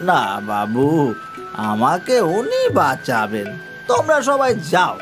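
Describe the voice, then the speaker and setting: A man voicing a mysterious, devout old beggar with an unworried manner in an animated folk tale. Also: fairly high pitch, slow, over music, exaggerated voice. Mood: serene, amused.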